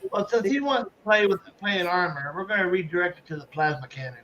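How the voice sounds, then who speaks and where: A man with a high voice plays in a tabletop roleplay session, speaking casually. His audio is dull and lo-fi, and other chatter sits behind him. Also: expressive, quick pace. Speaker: player. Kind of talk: casual tabletop game chat.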